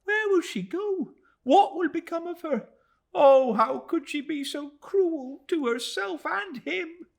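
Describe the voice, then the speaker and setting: A male reader puts on an emotional young townswoman from a classic storybook. The voice is pitched far up and swings widely. She exclaims in drawn-out phrases, indignant yet soft-hearted. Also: expressive, vocal fry, heavily acted. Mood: sobbing, distressed. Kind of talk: classic novel audiobook character.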